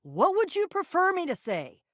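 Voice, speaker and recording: A woman's voice: very high voice, wildly swooping pitch, no echo, studio quality, full character voice. A young American woman voicing a bossy, sarcastic, theatrical and easily flustered female adventurer in a video game. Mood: brisk, wry. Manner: theatrical, exasperated, quick to swing between bravado and fluster.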